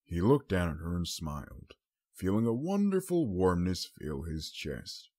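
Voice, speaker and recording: Guy reading an audiobook, low n a bit raspy, lively intonation. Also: unhurried. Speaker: audiobook narrator. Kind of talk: scripted story narration.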